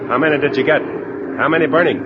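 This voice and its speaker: An actor playing a tough, commanding wartime navy squadron leader who gives clipped, slangy orders in 1940s radio style. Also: male voice, on the high side, fast talker, loud music behind the voice, lightly acted. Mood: urgent. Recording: dull, noisy old radio recording.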